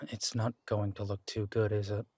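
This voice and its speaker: A steady detective who protects the one he loves, acted by a man, speaking slowly in a husky, mid-pitched voice. He soothes and pleads rather than shouts, close to a dry mic. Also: no echo. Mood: weary. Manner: soft and reassuring, pleading, peacemaking. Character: steady, protective male detective, tender with his partner. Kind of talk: audio roleplay drama acting.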